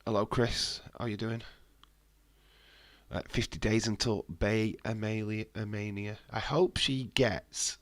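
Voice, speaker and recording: Man, head cold, low-ish voice, dry close sound, blunt casual streamer chat. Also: steady tone, measured pace, no echo. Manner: blunt, swears freely, reads out chat. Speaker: wrestling watch-along streamer.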